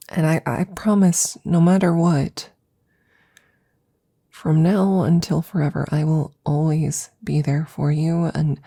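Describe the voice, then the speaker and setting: Woman acting as a hushed, halting, anxious scientist friend. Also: low-pitched, heavily acted. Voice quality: breathy, whispery, gravelly. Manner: halting, stammering, hushed, intimate. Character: anxious, devoted female scientist tending her best friend. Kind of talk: ASMR audio roleplay.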